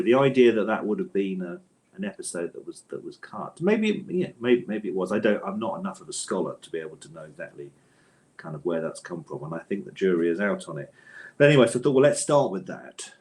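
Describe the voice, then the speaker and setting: A man's voice: low-pitched, lively intonation, brisk, darkish tone. A man speaking as an interviewed author in a conversational, discursive way, often interrupting himself and repeating words.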